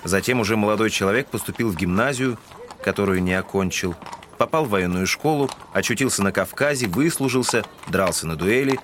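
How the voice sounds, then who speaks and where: A man's voice: on the low side, brisk, fairly bright tone, a little hiss or hum, close to an everyday voice. A man giving scripted literary narration in a Russian audio drama.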